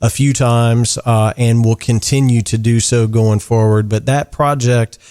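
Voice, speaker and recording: A man hosting a podcast interview in relaxed, easygoing chat, often stumbling over words and filling pauses. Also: medium pitch, clean tone. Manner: easygoing, lots of fillers and restarts. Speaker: podcast host.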